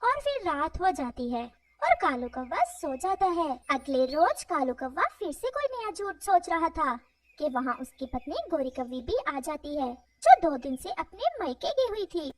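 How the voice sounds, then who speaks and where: A woman's voice: high-pitched, big pitch swings, unhurried, no echo, far from the mic. A woman narrating a scripted moral story for children.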